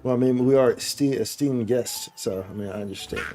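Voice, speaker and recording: A man's voice: medium pitch, fairly quick, dark tone, soft background music. A man live streaming games, reacting casually with slangy, playful commentary. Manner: slangy, playful, echoes game lines.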